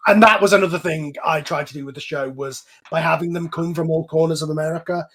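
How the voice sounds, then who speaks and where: A man's voice: fairly high pitch, fairly even intonation, quick pace, a bit bright. A man hosting a casual discussion over an online voice call, explaining things in a rambling way as a show's creator. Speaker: host and series creator.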